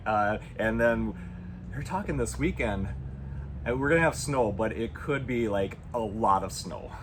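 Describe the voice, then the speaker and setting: A man's voice: on the low side, unhurried. A man with a northern Wisconsin accent, co-hosting a casual chat from inside a greenhouse, telling stories and laughing often. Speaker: greenhouse owner and co-host. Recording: clear background noise.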